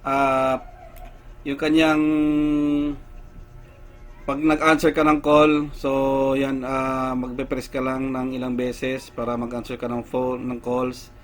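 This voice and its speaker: A man walks viewers through a gadget setup in a casual how-to video. He talks unhurriedly, step by step, often pausing on fillers as he goes. His pitch sits in an ordinary middle range. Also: completely flat delivery, a bit bright, a little hiss or hum. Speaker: tech vlogger.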